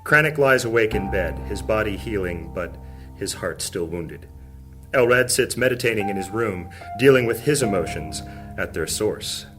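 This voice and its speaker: A man narrating a high fantasy audio drama in a measured, solemn manner. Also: lively intonation, over music, close to an everyday voice.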